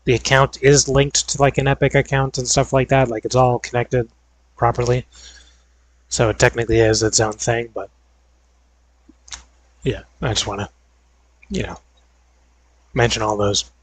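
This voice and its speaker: Young male creator, rambling filler-heavy chat, voice going hoarse, dry close sound. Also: medium pitch, level tone, moderate pace, long pauses, no room sound, low-quality recording. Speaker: video creator. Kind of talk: casual gaming vlog chat.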